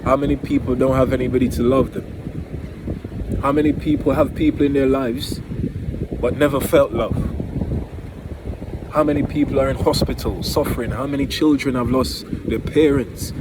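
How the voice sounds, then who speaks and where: A man's voice: medium pitch, steady tone, normal speed, long pauses. A man with a Jamaican accent giving an earnest, emphatic, informal motivational talk outdoors, repeating key phrases. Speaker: motivational vlogger. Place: outdoors near home by the water. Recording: very noisy outdoors, wind on the microphone.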